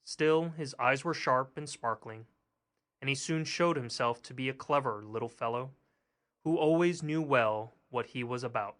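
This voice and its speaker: A man narrating a fairy tale as an audiobook, in a measured, steady reading. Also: medium pitch. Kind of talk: scripted storybook narration.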